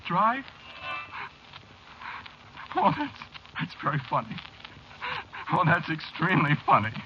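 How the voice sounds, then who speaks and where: An actor playing a frightened, desperate man in an agitated, halting way, in 1940s radio horror style. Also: on the high side, huge pitch swings, slight background noise, full character voice. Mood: hysterical amusement. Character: frightened, desperate man begging a bartender to talk. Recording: muffled old radio broadcast with music behind.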